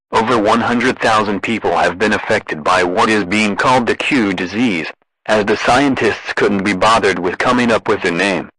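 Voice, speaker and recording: Male announcer, lowish pitch, impersonal scripted emergency bulletin read, very dry recording with no room sound. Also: fairly bright tone, no room sound, poor audio. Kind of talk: scripted emergency broadcast narration.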